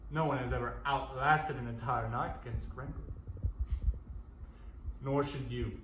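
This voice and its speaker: A man's voice: medium pitch, fairly even intonation, measured pace, fairly bright tone, voice pushed down, close to an everyday voice. A man playing an envious, scornful warrior, declaiming taunts in theatrical verse with emphatic delivery. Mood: scornful, taunting. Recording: roomy echo, faint noise.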